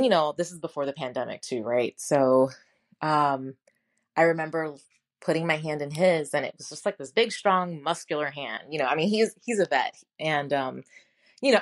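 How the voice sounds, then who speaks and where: A woman with a New York accent, likely in her thirties, hosting a podcast and telling her own story in a candid, chatty way with lots of fillers and self-mocking laughs. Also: sits low. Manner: candid and conversational, filler-heavy, laughs at herself. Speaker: podcast host.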